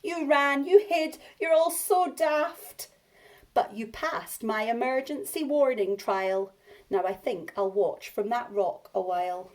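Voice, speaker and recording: Woman voicing a high, shouty little trickster dinosaur boy. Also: takes it slowly. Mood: teasing. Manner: shouting alarms, teasing, boastful. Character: mischievous young boy dinosaur crying false alarms.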